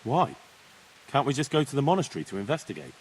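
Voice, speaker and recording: An actor playing a dutiful young squire who speaks earnestly in a video game cutscene. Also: male voice, medium pitch, sing-song, fast talker, a little hiss or hum, lightly acted, low-quality recording.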